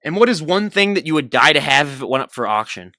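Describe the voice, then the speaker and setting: A man hosting a podcast, firing off interview questions in an upbeat, quick way. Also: fairly high pitch, sing-song, pushed and bright, no echo. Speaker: podcast host. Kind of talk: rapid-fire interview questions. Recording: dry close-miked podcast audio.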